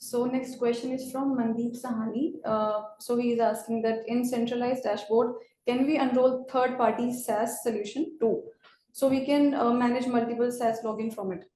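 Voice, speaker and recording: A woman's voice: on the high side, fairly even intonation, normal speed, warm tone, slight background noise. A woman moderating a webinar, reading out questions from the audience. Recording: roomy, echoey webinar audio.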